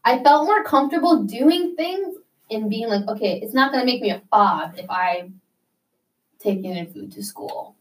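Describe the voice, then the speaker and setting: A woman's voice: on the high side, animated, moderate pace, distant mic, poor audio. Chatty young woman, a bit off-mic. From United States. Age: young adult. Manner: chatty, many "like" fillers. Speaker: interviewee. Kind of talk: casual interview.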